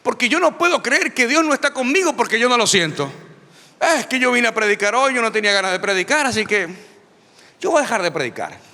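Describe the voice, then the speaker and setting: High, bright-voiced preaching man. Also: big pitch swings, fairly quick, big-room sound. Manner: emphatic, repetitive preaching with humor. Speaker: pastor. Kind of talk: lively sermon. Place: church.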